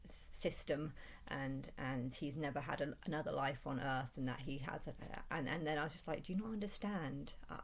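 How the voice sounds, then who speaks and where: Low-voiced woman, halting and rambling. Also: breathy edge. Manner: halting, repeats and restarts phrases often. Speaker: vlogger. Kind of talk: rambling personal monologue.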